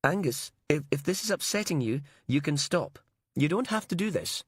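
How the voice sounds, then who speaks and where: A man reading a gentle woman caregiver who tries to keep her voice level. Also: a little high, lively intonation, normal speed, breathy edge, no echo, studio quality, pitched above the performer's own voice. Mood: soothing. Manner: gentle, trying to stay level. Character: soothing woman caring for an inmate.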